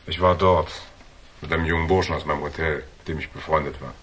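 A very deep-voiced, unflappable hardboiled sleuth. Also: male voice, fairly even intonation, fast talker, a bit bright, slight background noise. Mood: insistent. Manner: dry, laconic, taunting. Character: cool, defiant male hardboiled investigator, tough and unflappable. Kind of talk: German radio crime drama acting.

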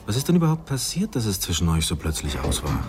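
An actor playing an articulate, considerate male friend in a radio drama, speaking in a measured, tactful way. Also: sits low, wildly swooping pitch, noisy background. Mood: curious, gentle.

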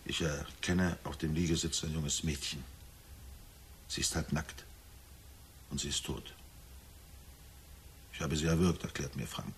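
An actor playing a gloomy middle-aged husband in a German radio drama, speaking in a measured storytelling way with dry irony. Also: male voice, rumbling low, vocal fry, slight background noise, exaggerated voice. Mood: grim, hushed recounting. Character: gloomy middle-aged husband recounting a nightmare, wryly forbearing.

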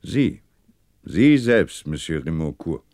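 An actor playing a shrewd, composed French police commissioner in a German radio crime drama, deliberate and dryly ironic. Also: male voice, a bass voice, big pitch swings, a little mellow, heavily acted. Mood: cool, insinuating.

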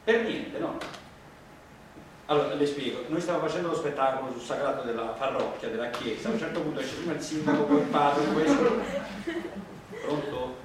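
A roomy, noisy stage recording, heard from a distance. A man plays a naive street actor in a farce, flustered and insistent, repeating himself in halting bursts with a lively, swinging pitch. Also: lightly acted. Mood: insistent, confused. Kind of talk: farcical stage dialogue.

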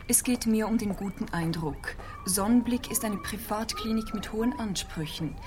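An actress playing a brisk, officious young woman at a private clinic, giving curt instructions in a radio play. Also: medium pitch, noisy background, close to an everyday voice. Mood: businesslike.

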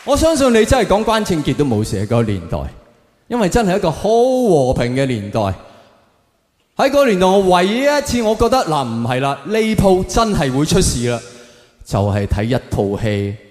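A man with a Hong Kong Cantonese accent performing stand-up to an audience, telling stories in a theatrical, exaggerated way with drawn-out punchlines. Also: high voice, very animated, darkish tone. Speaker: stand-up comedian. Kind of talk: stand-up comedy monologue to an audience. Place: large live performance venue. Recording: echoey hall with long reverb, faint noise.

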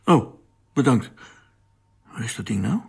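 A man's voice: medium pitch, big pitch swings, measured pace, vocal fry, husky, heavily acted. An actor playing an informal, curious and easily puzzled spaceship crewman in a radio drama. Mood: bemused. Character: tired, bemused young crewman, informal and curious.

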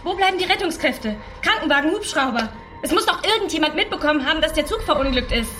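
An actress playing Freddy, a middle-aged agency executive who is proud, jealous and worn out, in a radio drama. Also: female voice, high voice, animated, fast talker. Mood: anxious. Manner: sharp, defensive, indignant. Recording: studio radio play with music bed.

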